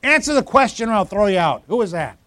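A man's voice: high voice, big pitch swings, quick pace, pushed and bright, no echo. Man in his fifties preaching, dry sound. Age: 50s. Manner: conversational, teasing the congregation by name. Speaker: pastor. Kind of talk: sermon. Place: church.

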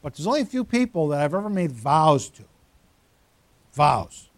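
A man's voice: on the high side, big pitch swings, moderate pace, no room sound. A pastor in his fifties giving a conversational sermon, teasing members of the congregation by name. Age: 50s. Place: church.

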